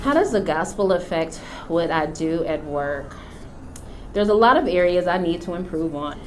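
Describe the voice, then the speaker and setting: A middle-aged woman from the United States, a company founder, speaking about her work and faith in an unhurried, reflective way with frequent pauses. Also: lowish voice, lively intonation, over music. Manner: unhurried, thoughtful, many filler pauses. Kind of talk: reflective personal testimony.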